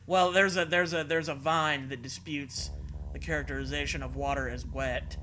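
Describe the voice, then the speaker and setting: A man's voice: on the high side, fairly even intonation, normal speed, noisy background. A man co-hosting a comedy show with sardonic, sweary, casual riffing. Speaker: co-host commenting on the story.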